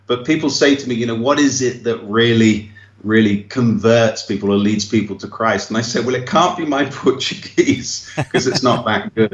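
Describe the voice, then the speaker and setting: English male missionary, lowish voice, earnest and reflective, chuckles often; roomy, dull remote-call sound. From England. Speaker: missionary pastor. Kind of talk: remote interview. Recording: roomy, muffled remote line.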